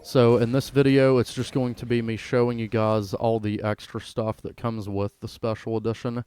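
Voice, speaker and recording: A man's voice: mid-range voice, level tone, normal speed, dark tone, clear, resonant tone, no room sound, faint music behind. A man hosting a gaming video with casual, laid-back, informal commentary.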